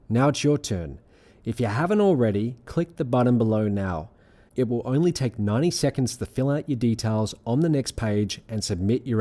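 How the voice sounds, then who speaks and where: A man reading a scripted sales pitch as presenter, in an earnest, measured, persuasive manner. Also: warm tone. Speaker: presenter of an online money-making sales pitch.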